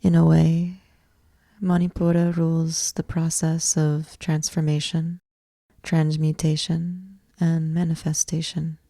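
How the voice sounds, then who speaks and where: A woman leads a guided meditation with a low-key, even delivery that barely moves in pitch. Her voice is soft-edged and mellow, with a creaky fry in it. She gives each instruction deliberately and leaves space after it. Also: no echo, studio quality. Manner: measured, deliberate phrasing with long pauses. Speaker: yoga nidra teacher guiding a meditation.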